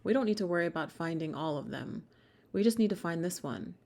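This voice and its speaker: A woman playing a cold, calculating schemer in an ASMR audio drama, speaking in a measured, menacing way. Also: fairly low pitch, animated, gravelly, darkish tone. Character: cold, calculating female schemer hunting a secret group.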